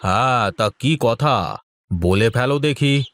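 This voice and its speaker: A man's voice: mid-range voice, lively intonation, slow pace, a bit bright. A man voicing a pompous, blustering older village council head in a folk-tale cartoon, with hesitant, repetitive speech. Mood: genial, authoritative. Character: pompous older male village council head, boastful but easily rattled.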